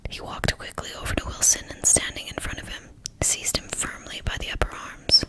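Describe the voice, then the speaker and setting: A woman reading a novel aloud in a steady, hushed whisper. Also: moderate pace. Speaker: narrator.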